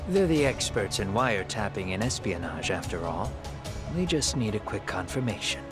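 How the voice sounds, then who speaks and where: A man playing a calm, shrewd fugitive schemer in a video game dub, talking in a composed, deliberate way. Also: lowish voice, over music. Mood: shrewd, explaining.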